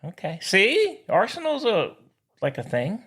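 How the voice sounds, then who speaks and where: A man's voice: high-pitched, huge pitch swings, unhurried, fairly bright tone, breathy edge. A man co-hosting a casual sports podcast, goofing around and playfully stretching out and repeating names. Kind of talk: casual, joking sports banter.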